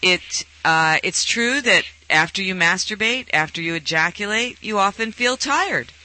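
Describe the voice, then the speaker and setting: A man's voice: high voice, expressive, unhurried, bright, forceful tone, rough recording. A man hosting a call-in talk show, giving advice in a conversational style.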